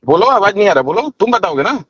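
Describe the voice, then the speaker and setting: A man's voice: high-pitched, lively intonation, fast talker, breathy edge, no echo. A man in a heated phone argument, scolding and repeating his demands combatively. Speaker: music manager on a phone call. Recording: dull, lo-fi phone call recording. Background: another man arguing on the line.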